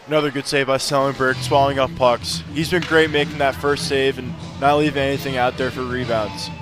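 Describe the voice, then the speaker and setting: A man with an American accent gives live hockey analysis as a color commentator. He talks quickly in a mid-pitched voice, with music behind him. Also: flat delivery.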